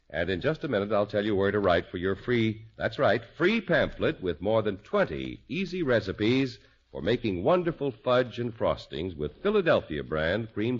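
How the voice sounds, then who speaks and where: A man's voice: fairly low pitch, breathy edge. An American man announcing a 1950s radio commercial with a polished, emphatic sales delivery. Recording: muffled 1950s radio recording.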